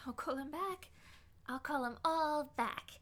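A woman playing a drunken, spiteful, party-loving wife in melodramatic radio horror style, with a shrill, petulant delivery. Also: a little slow, a bit bright. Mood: petulant, defiant.

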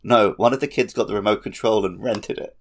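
A man chatting casually as a podcast host, telling stories in an animated, laughter-prone way. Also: lowish voice, brisk. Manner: animated storytelling with frequent laughter. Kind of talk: casual chat.

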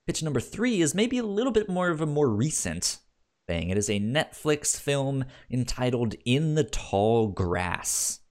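A man co-hosting a podcast in casual chat, halting and often repeating the starts of his words. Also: medium pitch, sing-song, darkish tone. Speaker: podcast co-host.

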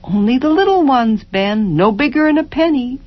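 A woman voicing a gentle, dreamy working mother of four, with a soft, lilting way of lingering over words, on a dull lo-fi recording. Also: sits high, animated, moderate pace, pitched above the performer's own voice. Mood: wondering.

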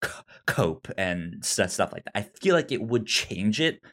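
Slow, halting podcast co-host. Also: low-pitched, very animated, fairly bright tone. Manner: halting, often repeats words and syllables. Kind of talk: casual film discussion.

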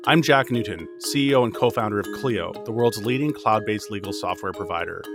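A man hosting an interview podcast, opening with a scripted intro, then asking questions haltingly and repeating words. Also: lowish voice, music in the background. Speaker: podcast host.

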